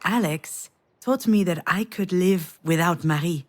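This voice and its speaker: A woman's voice: lowish voice, measured pace, close to an everyday voice. An actress playing a wistful, wounded woman parting from her ex, speaking in a reflective, intimate way in a naturalistic game performance. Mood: reflective, solemn. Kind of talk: naturalistic visual novel voice acting.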